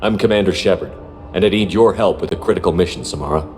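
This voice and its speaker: Actor as blunt male soldier, low voice, quick delivery, self-assured, game dialogue in a reverberant space. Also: a little mellow, slight background noise, natural voice. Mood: earnest. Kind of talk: video game voice acting.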